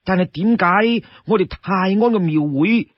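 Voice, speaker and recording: Man as young male peddler, Cantonese, polite, earnest, higher-pitched, slightly husky, storybook dialogue. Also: measured pace, no echo, highs cut off. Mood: courteous, friendly.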